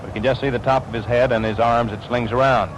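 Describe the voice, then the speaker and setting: An American man calling baseball play-by-play in 1940s radio style, describing the action in crisp phrases. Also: medium pitch, fairly even intonation, fast. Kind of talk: 1940s radio sports commentary. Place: ballpark broadcast position. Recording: noisy vintage 1940s radio broadcast.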